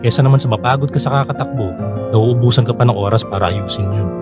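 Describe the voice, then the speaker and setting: A man's voice: mid-range voice, quick pace, natural voice. A man playing a frank, caring friend who gives firm advice in a Filipino radio drama, with a Filipino accent. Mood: urging, firm. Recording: guitar music behind the voice.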